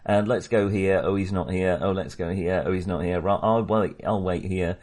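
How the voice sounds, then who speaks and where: A man's voice: sits low, steady tone, moderate pace, a little mellow. A middle-aged man co-hosting a casual film discussion, talking in a wry, hesitant, self-correcting way. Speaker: film podcast co-host.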